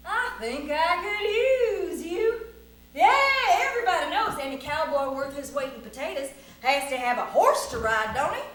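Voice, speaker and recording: A woman's voice: sits high, expressive, normal speed, pitched above the performer's own voice. A woman playing a plucky, boastful cowboy who spins a tall tale with folksy Western bluster, as live storytelling. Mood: coaxing. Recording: very echoey room, faint noise.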